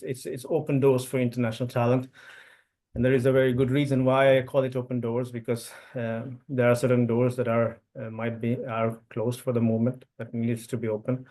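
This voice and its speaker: A man's voice: mid-range voice, a little mellow. Hesitant man from India giving a webinar talk. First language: Telugu. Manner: hesitant, many fillers and restarts. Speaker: event speaker. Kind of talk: online webinar presentation. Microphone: computer mic on video call.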